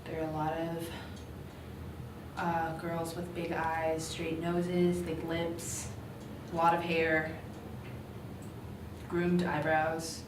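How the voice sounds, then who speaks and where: A woman's voice: deep voice, completely flat delivery, takes it slowly, pauses often. A young woman, a college student, giving casual answers in an interview. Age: young adult. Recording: echoey room, distant mic, clear noise.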